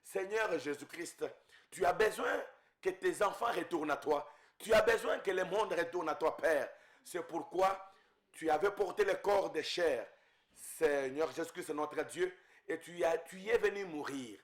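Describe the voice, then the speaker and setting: A man's voice: fairly high pitch, measured pace. A man from Congo leading an intense spoken prayer as a preacher, fervent and repeating invocations, his voice rising and falling in waves.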